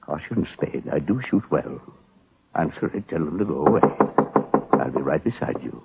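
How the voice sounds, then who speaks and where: A man's voice: extremely low pitch, animated, normal speed, vocal fry, very noisy, heavily acted. An actor playing a cultured, elderly male killer with florid, formal diction in 1940s radio drama style. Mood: calmly menacing. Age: older. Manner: florid, formal, precise speech. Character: cultured, cunning elderly male murderer, coolly courteous. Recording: narrow, phone-like vintage radio recording.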